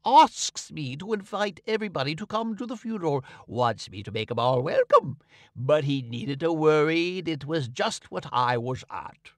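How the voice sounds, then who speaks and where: A man's voice: a little high, sing-song, moderate pace, dry recording. Brief: man reading a smug old con man, drawling, lilting up and down, dry close sound. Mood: pompous. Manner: drawling, self-satisfied, long-winded. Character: pompous old male con man posing as an Englishman. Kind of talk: audiobook character reading.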